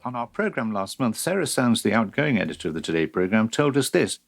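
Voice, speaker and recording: A man presenting current-affairs radio, putting points to a guest in a formal, carefully worded, deliberate way. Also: on the low side, big pitch swings, creaky.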